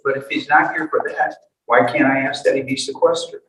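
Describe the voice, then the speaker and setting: A man's voice: medium pitch, fairly even intonation, fairly quick, a bit bright. A man speaking as a defense attorney in a courtroom, formal and deferential, hesitant and often repeating his words. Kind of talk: formal but halting courtroom argument. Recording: echoey courtroom, distant mic, background noise.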